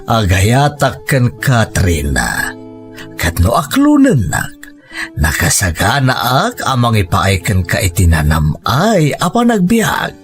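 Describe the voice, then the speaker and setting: A man's voice: medium pitch, wildly swooping pitch, slow pace, faint music behind. A man playing an earnest, devoted suitor with a wounded streak in a melodramatic Ilocano radio drama. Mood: tender, earnest.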